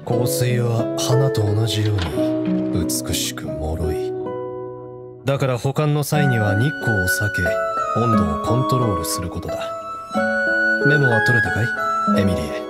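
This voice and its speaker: Female perfumer mentor, extremely low voice, unhurried, assured, lecturing, guitar music behind. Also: animated. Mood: reflective. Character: wise, unhurried master perfumer mentoring a pupil. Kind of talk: Japanese anime game voice acting.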